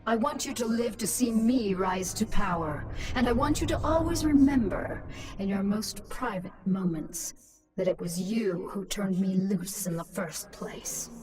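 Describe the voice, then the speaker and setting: A woman's voice: mid-range voice, unhurried, darkish tone, close to an everyday voice. Actress doing a scheming evil alien queen for a video game, cold n menacing, music behind her, bit far off. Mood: gloating. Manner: menacing, mocking, commanding. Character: ruthless, scheming alien swarm queen, once human, cold and gloating. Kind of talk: dramatic video game voice acting.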